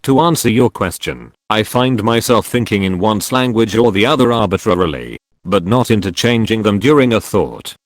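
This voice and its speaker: A man reading online comment threads aloud as scripted narration, steady, with dips on short replies. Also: on the low side, moderate pace. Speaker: narrator reading forum posts.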